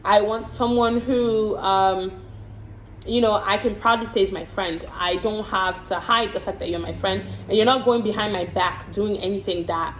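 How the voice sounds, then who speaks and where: A woman's voice: mid-range voice, fairly even intonation, moderate pace. A woman from the Congo answering get-to-know-me questions in a casual vlog, frank and chatty, often repeating words while she thinks. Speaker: vlogger and hair and makeup artist. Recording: roomy echo with faint background hiss.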